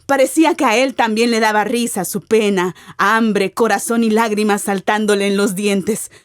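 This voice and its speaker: A woman giving an expressive, theatrical narration of a literary radio drama as its narrator. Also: mid-range voice, moderate pace, smooth, no echo, clean studio sound. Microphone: close studio mic.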